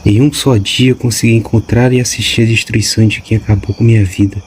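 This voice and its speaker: A man's voice: fairly low pitch, steady tone, a little fast, slight background noise, close to an everyday voice. A man voicing a restless, suspicious young woman in an audiobook, speaking colloquially. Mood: uneasy.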